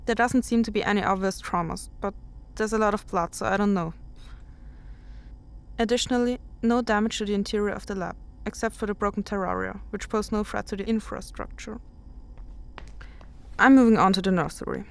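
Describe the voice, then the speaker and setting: A tense female space explorer played by a woman records a halting log as she searches a dead station. Her mid-pitched voice has plenty of creak, in a sober audio drama style. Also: steady tone, close to an everyday voice. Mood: uneasy, focused. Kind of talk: audio drama acting, spoken progress log.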